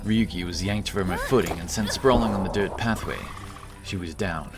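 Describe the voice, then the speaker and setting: A man's voice: sits low, normal speed. A man narrating a fantasy story in a dramatic, scripted audiobook style. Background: action music.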